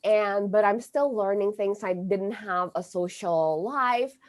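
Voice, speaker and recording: Woman, first language Indonesian, astrologer guest, slow unhurried delivery, halting with fillers. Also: mid-range voice. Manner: halting, many fillers and restarts. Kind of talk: conversational interview.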